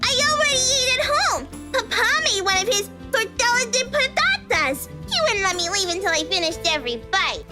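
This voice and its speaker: Very high, perky little girl. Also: very animated, moderate pace, hardly any pauses. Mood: teasing, chatty. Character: bright, impatient, cheeky little girl. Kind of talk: visual novel character voice. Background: music.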